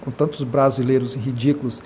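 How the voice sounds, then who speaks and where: Impassioned Brazilian man, halting and earnest. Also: mid-range voice, level tone, a little mellow, slight background noise. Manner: impassioned, many hesitations and repeated "né". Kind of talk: earnest interview talk.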